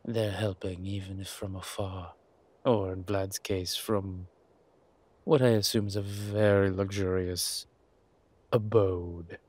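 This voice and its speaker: A man playing a haughty, wry vampire gentleman in a roleplay audio drama, speaking formally and with refinement. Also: low-pitched, steady tone, no echo. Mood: determined, scornful. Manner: refined, formal, wry phrasing with hesitant pauses.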